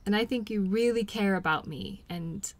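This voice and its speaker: A woman playing a caring, patient girlfriend in an intimate role-play audio, speaking gently and reassuringly to comfort her partner. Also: medium pitch, normal speed, lightly acted. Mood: affectionate, reassuring.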